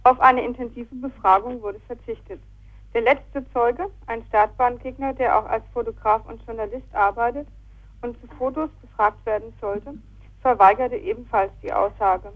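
A woman's voice: a little high, normal speed. A woman giving a scripted court report as a news correspondent, on a muffled phone-in radio recording.

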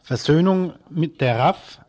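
A man's voice: a little high, slow pace, a little mellow. A man presenting radio news, reading from a script with the occasional stumble and self-correction.

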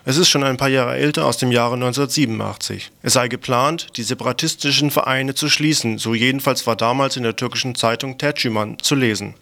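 Gravelly man reading radio news. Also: medium pitch, fast, hardly any pauses, bright, forceful tone.

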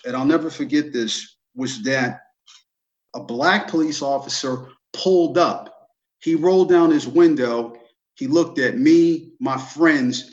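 A man's voice: on the high side, lo-fi sound. A man with an American accent recounting personal experiences as a panelist.

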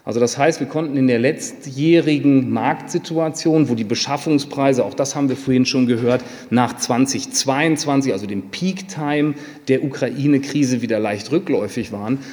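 A man's voice: medium pitch, normal speed, few pauses, a little mellow. A man giving a formal presentation as a company executive. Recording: reverberant meeting hall.

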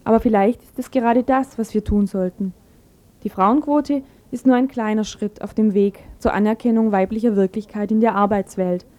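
A woman's voice: mid-range voice, steady tone, normal speed, mellow. A woman presenter reading a scripted radio commentary.

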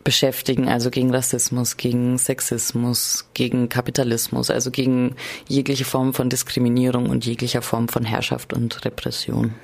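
A reporter giving an informal spoken account of an event, halting and thinking aloud along the way. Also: mid-range voice, monotone, vocal fry, darkish tone. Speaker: radio reporter.

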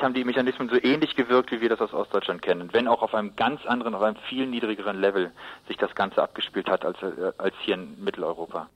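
Halting man explaining in an interview. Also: mid-range voice, dull audio. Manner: halting, repeats words while searching for phrasing. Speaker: interviewed expert on Nicaragua. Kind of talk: spontaneous explanatory radio interview.

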